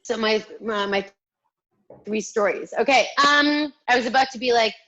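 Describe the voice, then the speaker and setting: A woman's voice: fairly high pitch, moderate pace, pushed and bright, muffled recording. A woman contestant on a comedy panel game, thinking aloud in casual, joking banter on a video call. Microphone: video call microphone.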